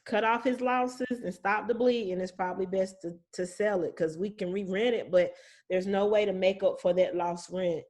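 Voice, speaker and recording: A woman's voice: medium pitch, fairly even intonation, normal speed, darkish tone. A woman speaking as a guest property manager, explaining things casually in an informal, reassuring, plain-spoken way.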